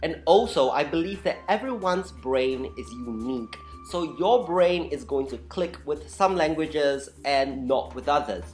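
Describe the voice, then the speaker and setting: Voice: medium pitch, lively intonation, unhurried, few pauses, a little mellow, faint music behind. An opinionated vlogger of unclear sex giving an explainer talk in a candid, blunt, informal way, stressing points with dramatic repetition. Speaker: vlogger and language enthusiast.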